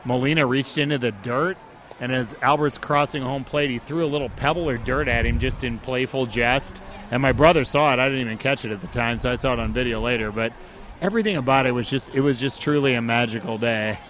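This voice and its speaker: Man, 40s, hoarse voice, enthusiastic guest, slight background noise. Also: medium pitch, fairly quick. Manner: animated, enthusiastic storytelling. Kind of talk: casual interview.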